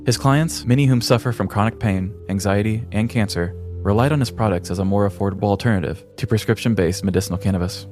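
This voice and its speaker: A man's voice: fairly low pitch, fast talker, few pauses, a little mellow, faint music behind. A man hosting a podcast, reading scripted comic true-crime narration with a dramatic storybook delivery. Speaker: podcast host.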